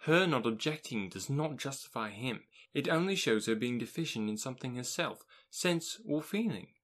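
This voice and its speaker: Man reading as a calm middle-aged aunt in a storybook, measured and gently chiding, mid-pitched. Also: lightly acted. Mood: gently reproving.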